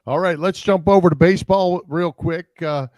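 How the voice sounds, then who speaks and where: A man's voice: high-pitched, normal speed, darkish tone, no room sound, studio quality. A man co-hosting a sports show, chatting casually about the games.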